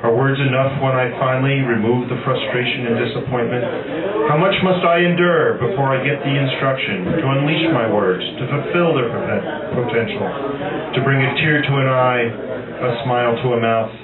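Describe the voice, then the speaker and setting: A man reading his own poems aloud at a live poetry night in a measured, earnest, dramatic way with pauses. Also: medium pitch. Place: small cafe poetry night. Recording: roomy, distant, tinny, loud crowd noise. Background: loud crowd.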